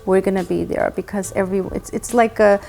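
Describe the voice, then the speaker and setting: A woman from Armenia, a charity guest, talking earnestly with many halting restarts. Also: medium pitch, fairly even intonation, vocal fry, dark tone. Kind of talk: conversational interview.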